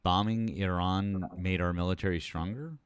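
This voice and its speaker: A man's voice: deep voice, steady tone, moderate pace, clean tone, no room sound. A man hosting a live political talk stream, taking callers and speaking casually with lots of fillers and blunt swearing. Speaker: political talk show host.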